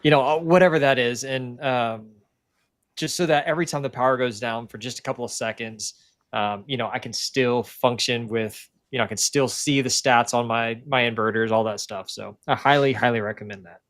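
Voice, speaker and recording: A man's voice: mid-range voice, fairly quick, a bit bright, no echo. Guy chatting on a stream with tons of ums, dry mic sound. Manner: chatty, lots of ums, jokes aside. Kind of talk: casual livestream chat.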